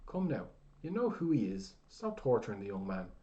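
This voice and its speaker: A man voicing a crass, half-deaf old blacksmith who shouts in a gruff, rough brogue. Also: on the low side, sing-song, moderate pace, dull audio. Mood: commanding.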